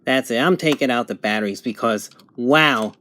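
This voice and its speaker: A woman's voice: extremely low pitch, moderate pace. A woman giving a casual, exasperated gadget review, full of exclamations. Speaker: product reviewer. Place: indoors at a table. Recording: clear radio static and handling noise.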